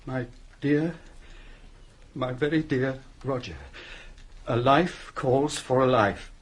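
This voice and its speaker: A husky-voiced gentleman of means in a radio drama. He is tormented and emphatic, with a slightly rough edge to his words. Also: mid-range voice, takes it slowly. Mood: shaken, firm. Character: anguished, wealthy English gentleman haunted by loss. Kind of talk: radio play acting.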